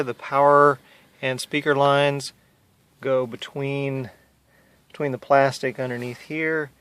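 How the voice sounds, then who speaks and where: A man's voice: medium pitch, a bit bright, smooth. A man giving a casual, plain-spoken how-to narration, explaining a hands-on DIY install step by step. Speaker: hobbyist demonstrating a DIY car install.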